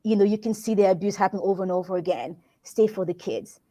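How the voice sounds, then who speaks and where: A woman's voice: mid-range voice, steady tone, quick pace, dark tone. A woman from Congo, a workplace wellbeing consultant, answering interview questions in an earnest, reflective way, often restarting her phrases.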